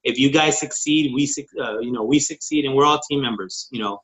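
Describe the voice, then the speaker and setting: A man's voice: mid-range voice, a little fast, a bit bright, rough recording. A man, a network marketing consultant from Texas, casually teaching on a group call, eager and informal, with many fillers and restarts.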